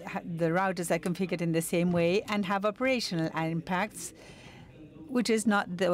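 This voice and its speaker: A woman giving live interpretation of a technical conference talk, measured, with pauses and self-corrections. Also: fairly low pitch.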